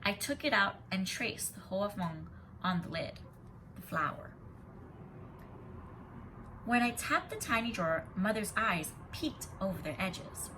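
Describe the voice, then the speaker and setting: A woman teacher reading a children's story expressively and pausing to explain it in an instructive, emphatic way. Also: medium pitch, lively intonation, sharp tone, slight background noise, poor audio. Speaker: kindergarten teacher.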